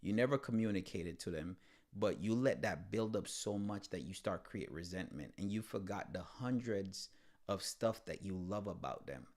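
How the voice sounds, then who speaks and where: Male co-host, deep-ish with vocal fry, Jamaican tinge, informal and slangy. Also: lively intonation, moderate pace, darkish tone. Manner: informal, slangy, repeats phrases for emphasis. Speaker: podcast co-host. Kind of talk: casual conversational talk.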